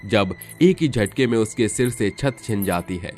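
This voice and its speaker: A man's voice: lowish voice, animated, moderate pace, darkish tone. A man reading scripted, dramatic storytelling narration. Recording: music under the voice.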